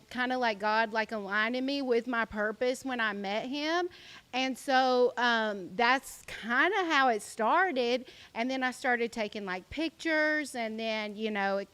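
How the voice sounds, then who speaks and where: Woman, Southern country accent, from South Carolina, somewhat high voice, chatty storyteller, lots of "like", interview guest. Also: fairly bright tone, clean tone. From South Carolina, USA. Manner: chatty storytelling, heavy use of "like". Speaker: guest, influencer. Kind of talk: casual interview chat.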